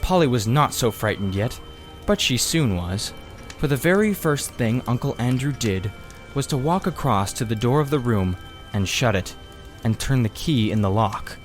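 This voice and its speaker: A male audiobook reader narrates a children's story. His mid-pitched voice is animated and expressive. Soft music plays in the background. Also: normal speed.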